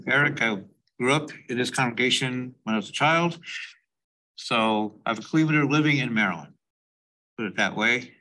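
A man's voice: medium pitch, normal speed, pushed and bright, far from the mic. A man in the audience introducing himself in a chatty, joking way in a large meeting hall. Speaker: audience member.

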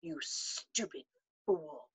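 A woman voicing a hurt young schoolboy who speaks low and trembling, almost whispering, while reading a storybook aloud. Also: fairly even intonation, a little fast, gravelly, a little mellow, husky. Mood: hushed.

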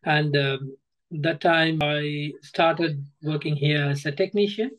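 A man in his thirties with a Sri Lankan accent, giving hesitant, halting interview answers on a video call as a guest. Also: on the high side, steady tone, a bit bright, low-quality recording. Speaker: guest, former coaching student. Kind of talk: interview answers, personal account. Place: indoor room, on a video call.

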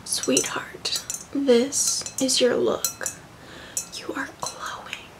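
A woman's voice: high-pitched, lively intonation, exaggerated voice. Woman playing a whispering, vain, tipsy rich mom. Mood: flattering, tipsy. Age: young adult. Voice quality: breathy whisper.

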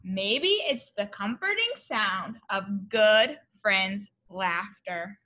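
Slow, bright-voiced woman reading to children. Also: mid-range voice. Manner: expressive, deliberate, explaining to young children. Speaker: elementary teacher reading aloud. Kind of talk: children's picture-book read-aloud. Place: school classroom, indoors.

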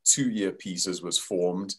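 A man's voice: deep voice, slow, husky. An older man with a South African accent, a company founder explaining his work over a video call in a thoughtful way, with many fillers.

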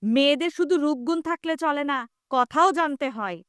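Woman doing a bitter nagging mom in a radio play, really high voice, dry close sound. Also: normal speed, a bit bright, dry recording. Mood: reproachful arguing. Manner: complaining, reproachful. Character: bitter scolding mother of a mute daughter. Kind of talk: Bengali audio drama acting.

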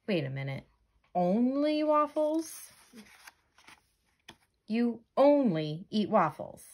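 Woman playing an earnest, animated jellyfish. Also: a little high, takes it slowly, heavily acted. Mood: incredulous. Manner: questioning, incredulous, coaxing. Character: earnest, exasperated jellyfish friend of a narwhal.

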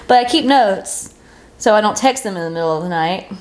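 A woman in her twenties talks as a podcast co-host in an easy, casual register. She is effusive and quick to poke fun at herself, with "like" peppered through her sentences. Her pitch sits in the middle for a woman. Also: expressive. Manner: effusive, self-deprecating, peppers talk with "like". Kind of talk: casual chat about books. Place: living room sofa, indoors.

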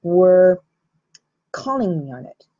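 Slow, mellow woman with an Armenian accent. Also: on the low side, very animated, highs cut off. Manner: slow, thoughtful, earnest. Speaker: healing practitioner and host. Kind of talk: reflective talk to camera.

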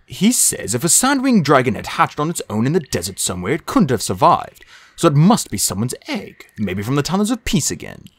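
A woman's voice: a bass voice, huge pitch swings, fairly quick, breathy edge, full character voice. A woman voicing an earnest, bookish young male dragon from a storybook, thoughtful and a little unsure. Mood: studious. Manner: thoughtful, studious. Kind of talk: storybook character voice.

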